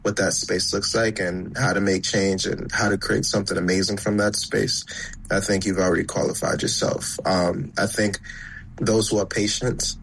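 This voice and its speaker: A fairly low-voiced man with a gravelly edge, speaking as a guest designer. He talks in a flat, measured, earnest way, with faint noise in the background. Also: poor audio. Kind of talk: casual interview.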